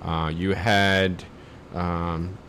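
Male co-host, lowish voice, casual and halting delivery, faint noise behind. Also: flat delivery, fairly bright tone. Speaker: podcast co-host. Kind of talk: casual podcast chat.

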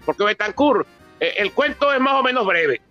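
A man's voice: sits high, expressive, normal speed, dull audio, faint music behind. A Venezuelan man in his seventies giving an impromptu public talk on history, emphatic and repeating phrases for stress. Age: 70s. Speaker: author and politician presenting his book. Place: theatre book presentation.

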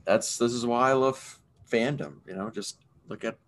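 A man in his twenties, co-hosting a casual fan podcast in a relaxed, easygoing way. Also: mid-range voice, moderate pace. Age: 20s. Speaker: podcast co-host. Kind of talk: casual chat.

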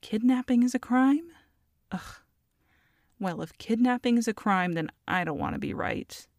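A woman's voice: fairly high pitch, lively intonation, unhurried, vocal fry, no room sound, clean studio sound. A woman voicing a feisty, scheming goblin mother in an audio roleplay, sassy and haughty as she talks to a captive. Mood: smug, playful. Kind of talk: ASMR audio roleplay monologue.

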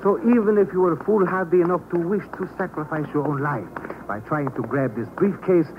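An actor playing a polite, menacing saboteur in 1950s radio thriller style, speaking formally and precisely with cool threat. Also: male voice, a little high, a little fast, natural voice. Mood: cool, controlled threat. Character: polite, menacing male saboteur with a briefcase bomb. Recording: dull, noisy old radio recording.

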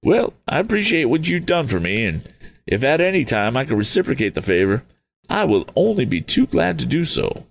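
A man voicing an eccentric, excitable older gentleman who sputters exclamations, reading a storybook aloud. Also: mid-range voice, normal speed, creaky, a little hiss or hum, voice pushed up. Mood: jovial.

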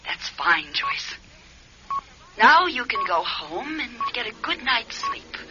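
A woman's voice: a little high, very animated, slow pace. An actress playing a cunning, controlling psychic woman who commands by telepathy in a radio drama. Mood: scheming, smug. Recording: dull lo-fi radio recording, clear noise.

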